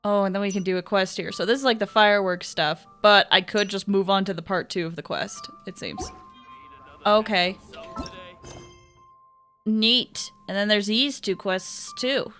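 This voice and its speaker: A woman doing casual, chatty livestream commentary as she plays a game, with an excitable manner. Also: mid-range voice, unhurried, soft background music. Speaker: game streamer.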